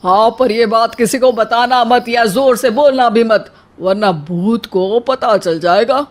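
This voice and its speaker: A woman acts out a family elder in a comic household story. The voice is fairly high and the lines come slowly, as acted dialogue. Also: close to an everyday voice. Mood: wary. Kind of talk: slow story dialogue acting.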